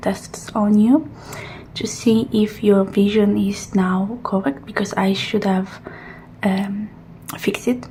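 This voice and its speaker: A woman doing an ASMR roleplay as a technician, speaking softly and gently in murmured reassurances and instructions, often slipping into a breathy whisper. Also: medium pitch, steady tone, slow pace, a little hiss or hum. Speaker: ASMR roleplayer playing a technician who examines a robot. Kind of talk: ASMR roleplay, soft personal attention.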